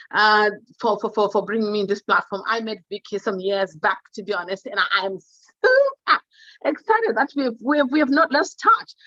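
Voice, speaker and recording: A woman's voice: mid-range voice, a bit bright, no room sound, highs cut off. A woman from Zimbabwe with a Zimbabwean accent, giving an unscripted motivational talk, emphatic and exuberant, repeating words for emphasis. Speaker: guest motivational speaker on wealth.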